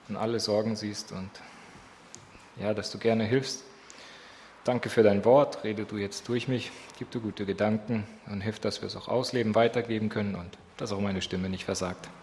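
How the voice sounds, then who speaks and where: A man's voice: lowish voice, steady tone, moderate pace, a little mellow, a little hiss or hum. Man with a slight cold, Bible study leader who quizzes the group, voice in a reverberant hall. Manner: asks the group questions. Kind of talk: prayer and interactive Bible study. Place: church hall.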